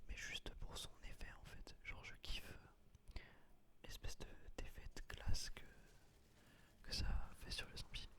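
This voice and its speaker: A man hosting a gaming video in a hushed, breathy whisper, ASMR style, close on a binaural microphone. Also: unhurried.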